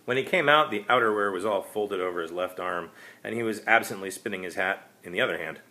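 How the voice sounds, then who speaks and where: A man's voice: deep voice, fast, bright, forceful tone. A man reading his own short story aloud as narrator, in a wry, conversational manner.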